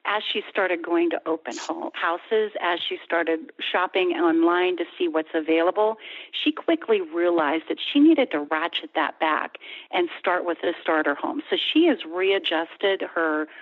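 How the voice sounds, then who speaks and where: A woman guest on a radio show, explaining steps in a steady, informative way. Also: lowish voice, measured pace. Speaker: bank executive guest. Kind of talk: radio interview over the phone. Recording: tinny phone line.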